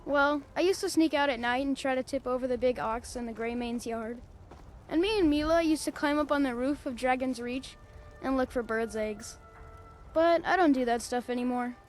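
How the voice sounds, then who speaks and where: A woman's voice: high voice, normal speed, soft background music, natural voice. A woman voicing a timid, picked-on little boy in a video game, meek and childlike. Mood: glum. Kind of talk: video game character dialogue.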